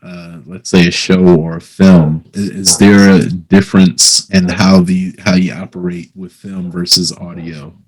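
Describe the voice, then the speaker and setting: A man asking casual interview questions as the interviewer, in halting, hesitant phrases. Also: low voice, level tone, darkish tone, slightly rough, low-quality recording.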